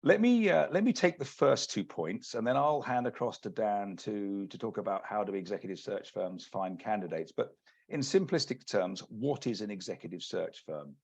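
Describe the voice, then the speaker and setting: A man from the UK with a British accent, giving a measured, businesslike webinar presentation as an executive search partner. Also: lowish voice, animated, gravelly, darkish tone, husky. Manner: measured, businesslike, many restarts. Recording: lo-fi video call audio.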